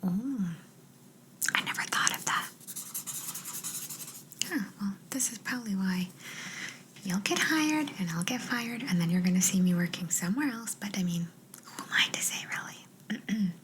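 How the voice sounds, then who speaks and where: A woman's voice: medium pitch, unhurried, pauses often, fairly bright tone, slight background noise. A woman whispering breathily and playfully as a quirky job interviewer in an ASMR roleplay, close to the microphone. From Canada. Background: writing sounds.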